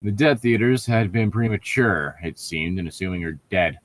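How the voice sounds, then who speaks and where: A man's voice: lowish voice, expressive, moderate pace, a little hiss or hum. A man reading fan fiction aloud in a casual, mocking way, swearing and stumbling over words as he makes sarcastic asides. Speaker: co-reader on a fan fiction riffing show.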